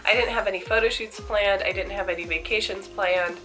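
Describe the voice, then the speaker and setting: A woman's voice: medium pitch, steady tone, brisk, bright, forceful tone, soft background music. A young woman with a congested voice from a cold, chatting candidly to camera about her fitness routine. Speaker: fitness vlogger. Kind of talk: casual chat to camera.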